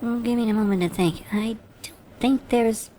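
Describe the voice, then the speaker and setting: A woman voicing an earnest, excitable female pony magician with a theatrical manner that moves between hushed and shrieking, in an animated fan drama. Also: fairly high pitch, moderate pace, lightly acted. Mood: thoughtful. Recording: echoey room, long reverb, background hiss.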